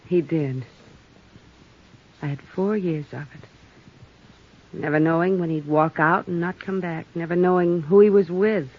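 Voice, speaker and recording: An actress playing a weary young widow who speaks quietly and reflectively, in 1950s radio-drama style on an old hissy recording. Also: female voice, lowish voice, steady tone, measured pace, long pauses. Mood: rueful. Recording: dull old radio recording with faint hiss.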